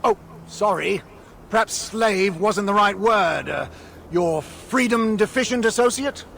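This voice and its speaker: Cheeky, high-voiced male dwarf. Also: unhurried, vocal fry, a little hiss or hum, exaggerated voice. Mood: wry, confident. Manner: flippant, wry. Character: cheeky, talkative male dwarf rebel, flippant and confident. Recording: loud game music behind.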